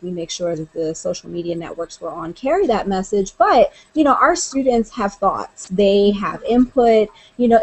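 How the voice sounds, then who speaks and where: A woman's voice: medium pitch, darkish tone, rough recording. A woman who works at a college, chatting earnestly about a social media guide, with frequent fillers like "you know" and "um". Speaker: college staff member, guest. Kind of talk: conversational discussion.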